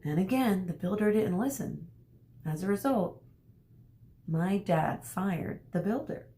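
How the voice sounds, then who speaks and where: A woman speaking as an elementary school teacher, reading a story aloud to children and pausing to explain things. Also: mid-range voice, expressive, warm tone. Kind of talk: read-aloud lesson for children.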